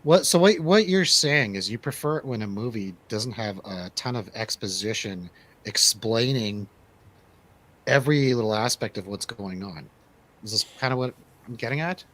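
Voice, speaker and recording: A man's voice: lowish voice, expressive, moderate pace, a bit bright, rough recording. A man chatting casually as a panelist on a movie review show, with a conversational, joking manner. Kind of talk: casual chat.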